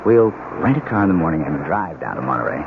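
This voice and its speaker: A man's voice: a bass voice, big pitch swings, fast talker, gravelly, noisy background, exaggerated voice. A man playing a breezy, evasive newlywed husband in a radio drama, with a glib, jocular way of talking. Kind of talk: 1970s radio drama acting. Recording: dull old radio, music behind.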